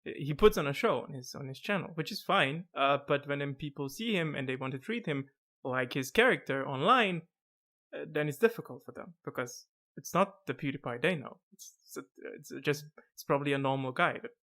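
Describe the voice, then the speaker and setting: Give me a monotone, laughing host. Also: male voice, a little high, brisk. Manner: monotone, laughs often, many restarts. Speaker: podcast host. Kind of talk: casual video-call chat.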